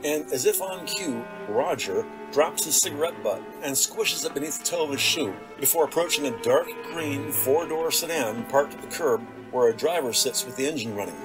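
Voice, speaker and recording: Higher-pitched male narrator over prominent music. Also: rough recording. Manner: measured descriptive storytelling. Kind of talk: scripted audio drama narration.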